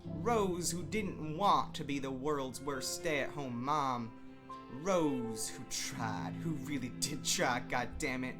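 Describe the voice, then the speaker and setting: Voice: medium pitch, slow. An amateur narrator giving a dramatic scripted reading of fan fiction over a soundtrack. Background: background soundtrack music.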